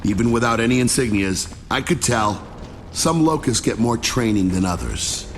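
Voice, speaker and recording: An actor playing a grim, weary soldier recounting a battle as testimony in a video game. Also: male voice, mid-range voice, normal speed, a little hiss or hum, natural voice. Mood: grim, wry. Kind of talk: dramatic video game narration. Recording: very loud music behind.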